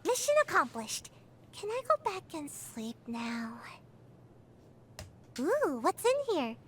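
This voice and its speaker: Sleepy, high-pitched little ninja girl. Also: very animated, slow, slight background noise, heavily acted. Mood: drowsy, curious. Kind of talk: video game voice lines.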